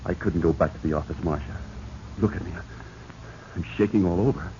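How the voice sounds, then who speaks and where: An actor playing a guilt-ridden, nervous man in a 1940s radio drama, stammering and halting. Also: deep voice, mellow, natural voice. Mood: panicky. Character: panicky, guilt-ridden man hiding a killing. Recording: noisy, dull 1940s radio recording.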